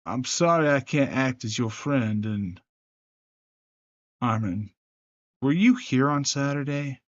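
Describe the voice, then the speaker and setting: A man voices scripted visual novel drama in a serious, measured way. His pitch sits in the middle. The recording is dry and slightly dull, with music underneath. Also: animated, no room sound. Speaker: gaming channel creator voicing every character. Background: game music.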